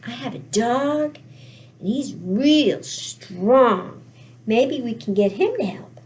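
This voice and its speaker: Woman playing a high-voiced, bold little girl. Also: lively intonation, slow pace, darkish tone. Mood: confident. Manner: calling out, repeating commands. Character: bold little girl with a plan, bossy and cheerful.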